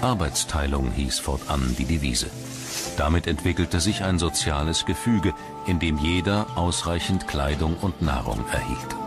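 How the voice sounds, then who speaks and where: A man reading scripted documentary narration in a measured, formal manner. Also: rumbling low, animated, loud music behind the voice.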